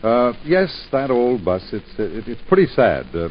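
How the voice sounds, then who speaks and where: A man's voice: medium pitch, very animated, moderate pace, natural voice. An actor playing a fond husband and father who hesitates and stammers in a 1940s radio drama. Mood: sheepish. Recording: dull 1940s radio broadcast with faint hiss.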